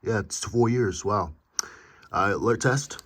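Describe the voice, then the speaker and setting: An American man giving a casual show-and-tell as a hobby video host, halting and correcting himself as he goes, recorded in a room at home. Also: lowish voice. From United States. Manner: halting, pausing mid-sentence, self-correcting. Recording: clearly noisy amateur home recording with clicks.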